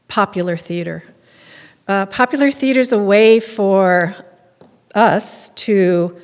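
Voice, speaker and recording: An older woman giving unscripted closing remarks as a committee chair, halting as she searches for words. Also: mid-range voice, smooth. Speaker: committee chair thanking participants. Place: synagogue sanctuary at a podium. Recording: reverberant hall.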